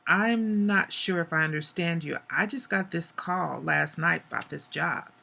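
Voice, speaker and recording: A hoarse woman voicing a young woman who stands her ground in a story she reads aloud. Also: lowish voice, measured pace, bright, forceful tone, full character voice. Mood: uncertain. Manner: firm, defensive.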